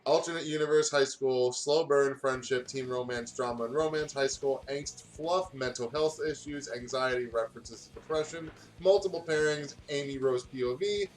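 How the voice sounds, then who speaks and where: A man's voice: medium pitch, moderate pace, few pauses, poor audio. A man hosting a let's play, casually reading fan fiction aloud with a goofy, giggly, stumbling delivery. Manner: giggly, goofy, often stumbling over what he reads. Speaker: host of a let's play channel. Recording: music playing behind the voice. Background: music.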